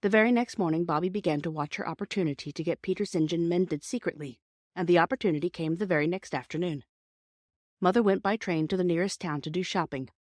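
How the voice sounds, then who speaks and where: A woman's voice: on the low side, quick pace, darkish tone, no echo, studio quality. A woman narrating a children's story from a script as an audiobook reader.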